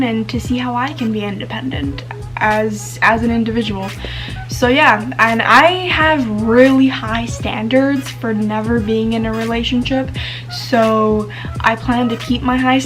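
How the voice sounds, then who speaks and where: A teenage girl vlogging casually to camera, chatty and full of fillers, whose first language is Spanish. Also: fairly high pitch. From United States. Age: teens.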